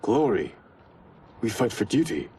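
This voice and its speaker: Low, dutiful ninja brother. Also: male voice, sing-song, a little slow, mellow, a little hiss or hum. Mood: earnest, urging. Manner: earnest, principled, reasoning. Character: dutiful, earnest ninja brother loyal to tradition. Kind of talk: dramatic video game cutscene acting.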